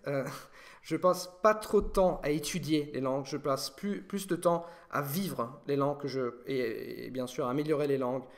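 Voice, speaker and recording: A young Italian man answering viewer questions in a casual monologue, pausing and restarting often as he thinks aloud. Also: fairly high pitch, vocal fry. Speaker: language learning video host.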